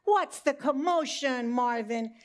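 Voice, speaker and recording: A woman's voice: sits high, takes it slowly, pitched above the performer's own voice. A woman voicing a fretful mother lamb in a children's storybook, bleating as she speaks.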